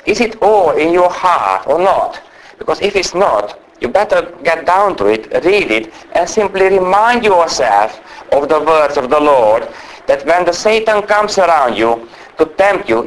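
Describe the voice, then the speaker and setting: A man giving a sermon as a guest preacher. He speaks English with a strong non-native accent, talks fast by nature and tries to slow down, and sounds under the weather with a cold. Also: a little high, slight background noise, low-quality recording. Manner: naturally fast talker trying to speak slowly. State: unwell with a cold or flu.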